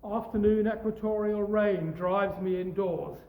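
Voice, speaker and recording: A man in his sixties with a posh English accent, reading his poetry outdoors in an animated, storytelling way. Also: sits high, unhurried, roomy sound, phone-quality sound. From East Africa. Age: 60s. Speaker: poet. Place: outdoors outside a cafe.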